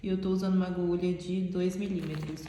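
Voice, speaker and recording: A woman's voice: fairly low pitch, little pitch movement, fast talker, mellow, slight background noise. A woman with a stuffy, nasal voice, explaining a crochet pattern step by step in a chatty tutorial manner. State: stuffy nose. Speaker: crochet teacher. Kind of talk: casual tutorial talk. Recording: very echoey room with long reverb.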